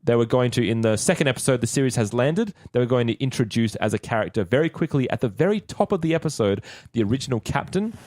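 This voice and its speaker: A man's voice: mid-range voice, animated, fast, hardly any pauses, darkish tone, dry recording, studio quality. A man chatting casually as a podcast co-host. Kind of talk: casual chat.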